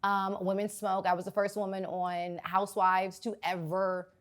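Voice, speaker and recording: A raspy-voiced woman in her forties from Los Angeles, a guest in a casual interview who gets animated and jumps high when she protests. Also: normal speed. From South Central Los Angeles.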